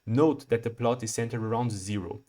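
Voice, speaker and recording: A young man from Brazil, a PhD student, giving a prepared research talk in a measured, explanatory way with occasional restarts. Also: mid-range voice, dark tone.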